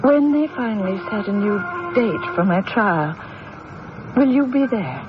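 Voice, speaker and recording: An actress playing a poised, wealthy widow accused of killing her husband, speaking in a measured, guarded, formal way in an old-time radio mystery style. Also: medium pitch, lively intonation, music in the background, lightly acted. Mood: weary, bitter. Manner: measured, guarded, formal, halting when recounting. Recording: noisy, muffled old radio recording.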